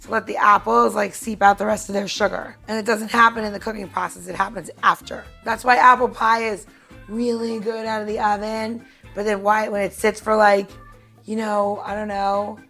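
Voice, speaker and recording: A woman's voice: mid-range voice, flat delivery, normal speed, creaky, sharp tone, faint music behind. A woman casually walking listeners through a recipe step by step, chatty and instructive. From United States. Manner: chatty, instructive, laughs easily. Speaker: cocktail tip presenter. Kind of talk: casual how-to demo.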